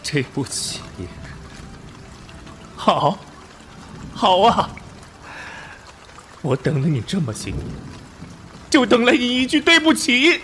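A man's voice: sits high, huge pitch swings, takes it slowly, pauses often, a little hiss or hum, heavily acted. A man playing a passionate, betrayed young army officer in an emotional radio drama. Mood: heartbroken, bitter. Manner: impassioned, breaking into bitter laughter and hushed pleading.

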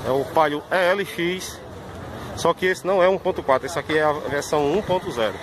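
A man's voice: a little high, fairly quick. A man, giving a casual, informal walkaround of cars at an outdoor car fair, filmed on a phone. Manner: chatty, informal, repeats "pessoal". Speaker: car vlogger. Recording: outdoor street noise behind. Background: traffic and crowd ambience.

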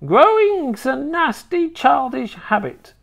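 Shrill, wicked old granny. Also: female voice, sing-song, vocal fry, full character voice. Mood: scornful. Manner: snappish, scornful, drawn-out emphatic delivery. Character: nasty, bossy, gleefully wicked tiny old grandmother.

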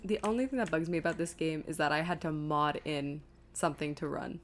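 A woman's voice: sits low, a little fast, slight background noise. A woman streamer giving casual live gameplay commentary, chattily thinking aloud to herself and her viewers. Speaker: video game streamer.

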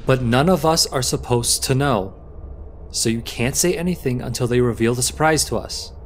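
A man plays a friendly young spacefarer in a sci-fi audio drama. He has a medium-pitched, natural voice, with faint noise and ambience around it. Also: moderate pace, close to an everyday voice. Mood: confiding, excited. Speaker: voice actor.

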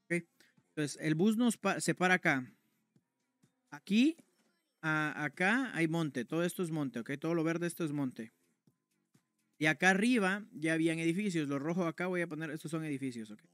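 A man's voice: fairly high pitch, expressive, moderate pace, pauses often, fairly bright tone, dry recording, faint music behind. A young man streaming from a room at home, casually telling a personal story to his chat in a slangy, conversational way. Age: young adult. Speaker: game streamer.

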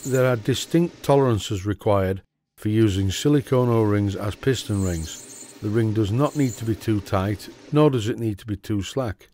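A middle-aged man with a Yorkshire accent, giving a steady, methodical workshop tutorial voice-over about model engine repair. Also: lowish voice, normal speed, a little hiss or hum. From Yorkshire, England. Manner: steady, methodical, plain-spoken instruction. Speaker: model engineering channel host.

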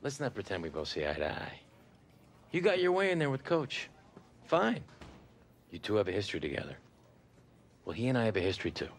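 A man's voice: fairly low pitch, sing-song, a little fast, pauses often. A man playing a polite but pointed middle-aged team executive in a video game drama. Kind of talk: scripted game drama acting.

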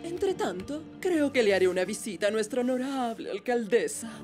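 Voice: medium pitch, lively intonation, normal speed, over music, natural voice. A performer voicing a smug, commanding villain apple in a Latin American Spanish cartoon dub, gloating and theatrical. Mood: scheming, demanding. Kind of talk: animated cartoon dubbing.

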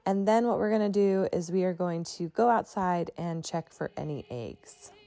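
A stay-at-home mom giving a chatty, relaxed voice-over for her home vlog, often saying "like" and "you know". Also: lowish voice, moderate pace, gravelly, dry recording. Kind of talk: casual voice-over narration.

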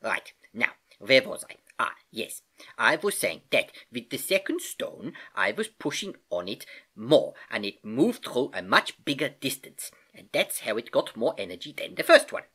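A storybook narrator voicing an elderly, jovial uncle who eagerly and patiently explains science, with animated exclamations and hesitations. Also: mid-range voice, unhurried, husky, full character voice. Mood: emphatic explaining. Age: older.

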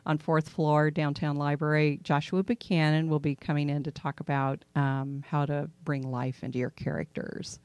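A woman's voice: deep voice, flat delivery, moderate pace, few pauses, a little mellow, dry recording. A middle-aged woman chatting casually as she gives book picks, close to a studio microphone. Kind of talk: casual chat. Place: indoor studio in a library. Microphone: close studio microphone on a boom arm.

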